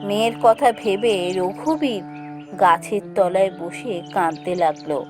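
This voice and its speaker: A woman's voice: medium pitch, animated, measured pace. A woman narrating a scripted fairy tale as a storyteller for a cartoon. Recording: clear music behind the voice.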